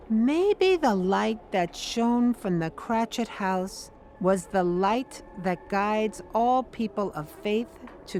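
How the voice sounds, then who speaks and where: A woman narrates an audio drama in a measured storytelling style. She takes her time with each phrase, over faint background ambience. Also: medium pitch, darkish tone, a little hiss or hum. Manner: deliberate, measured.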